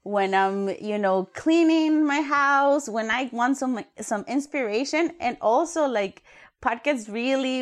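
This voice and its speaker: A woman from Ecuador co-hosting a youth podcast, chatty and friendly, with fillers. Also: mid-range voice. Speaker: podcast co-host, youth worker.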